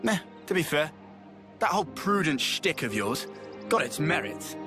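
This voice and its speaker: Blunt young British soldier. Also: male voice, a little high, sing-song, normal speed, music in the background. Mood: conciliatory. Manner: blunt, colloquial. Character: brash, restless young male soldier, blunt and loyal. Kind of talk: video game cutscene acting.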